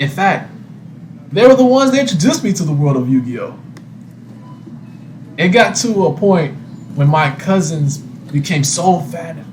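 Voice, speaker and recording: A man's voice: medium pitch, a little mellow. A man telling a scripted personal story as narrator, recorded in an echoey room with background noise. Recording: roomy echo, noisy background.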